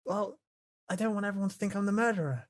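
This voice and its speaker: A woman playing a tabletop role-playing game, improvising casual in-character chat with halting, questioning delivery. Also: mid-range voice.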